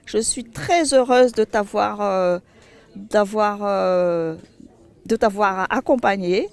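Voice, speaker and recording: Woman, from Madagascar, mid-pitched voice, heartfelt, informal testimonial, repeats words for emphasis. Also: slow pace, clear, resonant tone. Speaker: charity association member.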